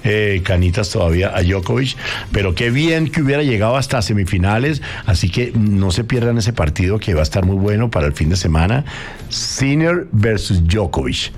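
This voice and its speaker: A man hosting a morning radio show, chatting about sports in an animated way and speaking straight to listeners. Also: lowish voice, moderate pace. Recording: music bed behind the voice. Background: background music.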